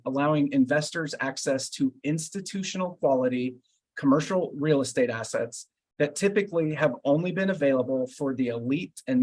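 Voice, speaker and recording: Slightly husky male podcast host. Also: fairly high pitch. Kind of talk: scripted show introduction.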